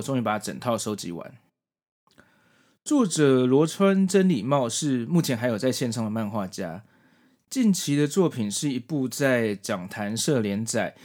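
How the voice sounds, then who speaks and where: A man's voice: mid-range voice, a little mellow. A man with a Taiwanese Mandarin accent presenting a podcast in a semi-scripted, explanatory narration style. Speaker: podcast host.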